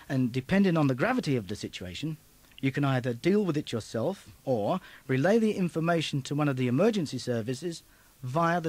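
A man narrating an instructional tape from a script in a clear, emphatic, instructive way. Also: fairly high pitch, a little mellow, no room sound.

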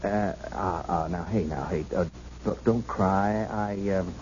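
An actor playing a kindly, plain-spoken beat cop, husky and halting, with folksy grammar, in a 1970s radio drama. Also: male voice, deep voice, heavy background noise. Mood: awkward, soothing. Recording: dull, band-limited 1970s radio recording.

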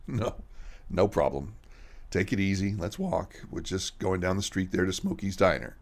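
A man's voice: low-pitched, moderate pace. An actor playing a troubled, outwardly kind male vigilante, speaking earnestly in a radio mystery drama. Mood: reassuring.

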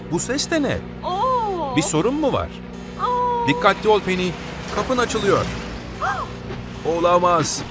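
A man narrating a children's cartoon in a cheerful, encouraging way, talking to the vehicles he helps. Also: sits high. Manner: encouraging, talks to the truck and little cars. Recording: with loud background music.

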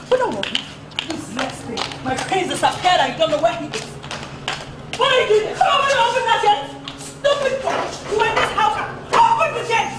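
An actress with a Nigerian accent playing a haughty, hot-tempered princess in a film melodrama, scolding and imperious. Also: female voice, high voice. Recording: echoey room, noisy location sound.

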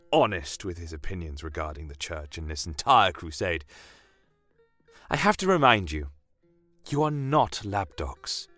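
Man playing a dignified, deep-voiced commander. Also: a bit bright, no room sound, exaggerated voice. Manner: formal, deliberate. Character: courteous, dignified male military commander of a desert empire.

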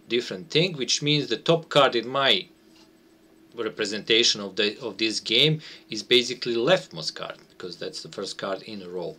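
A man's voice: on the low side, pushed and bright. A man, an English speaker, giving a casual, unscripted game walkthrough and hesitantly searching for words. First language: not English. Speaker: board game designer playtesting a game. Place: small room at home, indoors.

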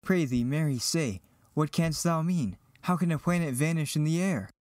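A man as a high-voiced, earnest young hero. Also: normal speed, a little mellow, dry recording, studio quality, lightly acted. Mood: anxious, questioning. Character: earnest, eager young farm boy hero. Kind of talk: Shakespearean dramatic reading.

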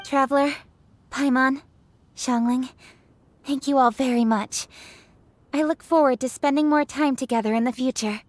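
A woman's voice: high voice, steady tone, normal speed, pauses often, lightly acted. An actress voicing a composed young noblewoman in a video game, polite and formal. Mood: gracious.

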